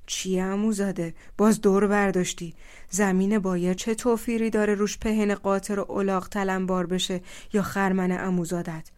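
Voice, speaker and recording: A woman voicing a big-boned village elder over seventy who scolds in gruff, rustic speech. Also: medium pitch, level tone, a little mellow, lightly acted. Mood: scolding.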